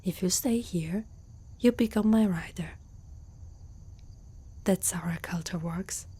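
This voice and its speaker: A hushed, breathy, low-toned female centaur warrior, acted by a woman from Italy. She is an older, battle-worn guardian, protective and haunted by loss. The delivery is gentle, slow and earnest, with a soft mellow tone suited to whispered ASMR roleplay. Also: heavily acted. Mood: tender, resolute.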